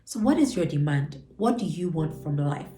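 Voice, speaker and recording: A woman's voice: deep voice, expressive, normal speed, warm tone. A woman hosting her channel, stuffy and nasal from a cold, talking earnestly and encouragingly about a book and stretching words out for emphasis. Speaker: channel host. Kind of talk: motivational book breakdown. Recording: echoey room with faint music.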